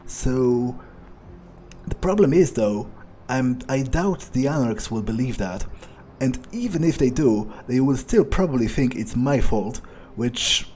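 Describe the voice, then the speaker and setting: A man's voice: mid-range voice, expressive, measured pace, darkish tone, faint music behind, close to an everyday voice. A man voicing a cynical, suspicious vampire in an in-character game roleplay, musing hesitantly and swearing freely. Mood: brooding, suspicious. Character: cynical, suspicious, foul-mouthed young male vampire, thinking aloud.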